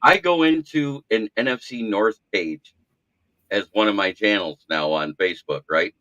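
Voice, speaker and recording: A man's voice: on the low side, normal speed, no echo, highs cut off. A man hosting a casual sports podcast, talking in a practiced radio voice and poking fun at himself. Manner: self-deprecating, animated storyteller with a practiced radio voice. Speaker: podcast host. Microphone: podcast mic.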